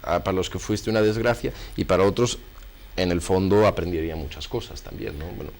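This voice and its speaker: A man's voice: low voice, fairly quick, gravelly, a little mellow. A man with a Spanish accent working as an interviewer, chatting casually as he guides the conversation.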